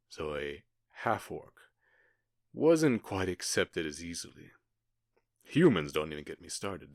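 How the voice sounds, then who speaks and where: A man playing a smug, cruel outlaw gang boss in an ASMR roleplay, taunting with theatrical pauses and mock-polite menace. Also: sits low, dry recording, clean studio sound.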